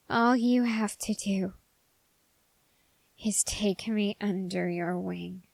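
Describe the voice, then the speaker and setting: A woman plays a dark young man hungry for power in an intimate ASMR roleplay. The delivery is slow and formal, with dramatic pauses and silky menace. The voice is close and very dry. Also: fairly high pitch, no room sound. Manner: deliberate, halting phrasing with dramatic pauses.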